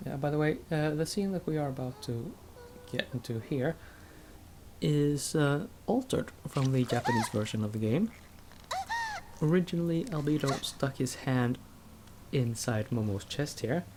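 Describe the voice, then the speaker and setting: A man giving casual, unscripted game commentary, hesitant and halting with long pauses. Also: a little high, animated, background noise. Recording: game sound clearly behind. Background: video game cutscene audio.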